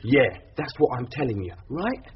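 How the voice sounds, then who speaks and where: An actor playing a sixteen-year-old streetwise defendant in a radio drama, slangy, blunt and defiant. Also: male voice, mid-range voice, big pitch swings, moderate pace, lightly acted. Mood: indignant. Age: teenager as played. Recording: dull radio recording with faint noise.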